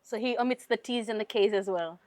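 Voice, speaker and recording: A woman from Fiji with a Fijian English accent, hosting a casual interview with a band and asking questions with soft fillers. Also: on the high side, a little fast, dry recording. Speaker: podcast host.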